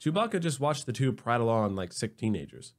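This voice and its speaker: A man narrating a scripted comedic sci-fi story in an expressive, wry manner. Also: mid-range voice, fairly quick.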